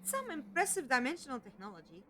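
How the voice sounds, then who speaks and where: A woman's voice: high voice, huge pitch swings, quick pace. A young woman playing a bold, curious companion in an audio drama, eager and cheeky in manner. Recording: noisy mix with effects and ambience.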